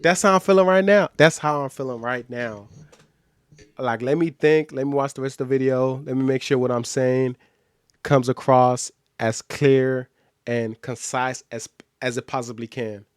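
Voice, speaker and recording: Male streamer, American accent, medium pitch, dry sound, casual reaction commentary, slangy and repetitive for emphasis. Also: fairly even intonation, normal speed, clear, resonant tone, no echo. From born in Nigeria, grew up in the United States. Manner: slangy, repeats phrases for emphasis.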